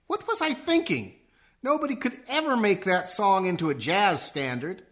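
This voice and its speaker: An actor playing an earnest, aggrieved young musician son in a comic radio play, with melodramatic delivery. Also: male voice, high voice, very animated, normal speed, fairly bright tone.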